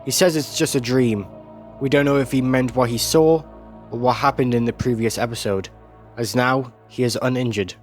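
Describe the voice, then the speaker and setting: A man reads scripted video essay narration at a middle pitch. He describes scenes like a storyteller, with music playing faintly behind him. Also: steady tone, normal speed. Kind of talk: scripted narration, recapping an animated series.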